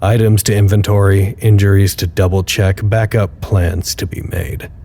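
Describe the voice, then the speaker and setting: A man's voice: lowish voice, steady tone, normal speed, dark tone, slight background noise, close to an everyday voice. A man playing a weary, dutiful researcher who records formal, articulate status logs with dry wit in an audio drama. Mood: weary, grave. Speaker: narrator. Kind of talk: audio drama log narration.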